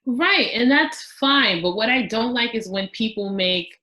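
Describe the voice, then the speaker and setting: A young woman of Haitian background talking casually as a podcast guest, outspoken and animated, acting out the voices she quotes. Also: fairly high pitch, measured pace.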